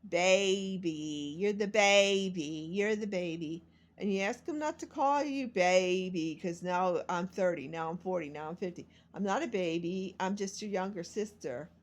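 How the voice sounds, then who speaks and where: A woman's voice: fairly low pitch, unhurried. A woman in her sixties talking about her life in a rambling, confessional vlog, meandering and self-deprecating. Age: 60s. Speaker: vlogger and self-published author.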